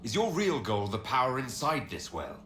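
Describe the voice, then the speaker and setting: A man's voice: medium pitch, sing-song, normal speed, fairly bright tone. An actor playing a questioning, pragmatic fantasy hero leading his party in a video game. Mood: probing. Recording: roomy echo, music behind.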